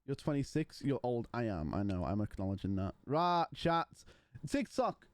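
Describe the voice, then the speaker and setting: A man's voice: medium pitch, very animated, normal speed, dry recording. A man, casually chatting and bantering with his livestream chat. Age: 20s. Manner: banters with chat, mocking and playful. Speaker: streamer.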